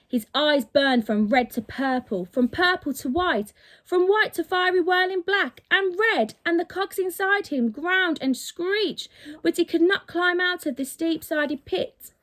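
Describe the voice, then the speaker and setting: A woman's voice: sits high, fairly bright tone. A woman reading a children's story expressively, with dramatic, emphatic delivery, like a teacher reading to pupils.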